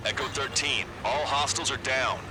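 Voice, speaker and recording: A man performs a serious male flight controller in a combat game. He gives clipped, authoritative calls at a middling pitch, with loud music behind him. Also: fairly even intonation, moderate pace, natural voice, rough recording. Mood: clipped, focused. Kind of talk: military radio chatter, video game acting.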